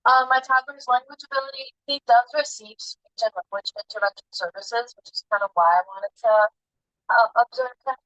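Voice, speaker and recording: A woman's voice: a little high, very animated, fairly quick, bright, forceful tone, no room sound, muffled recording. Woman presenting casually over a dry call. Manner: casual, with frequent ums and uhs. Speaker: student presenter. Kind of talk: class presentation. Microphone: video call.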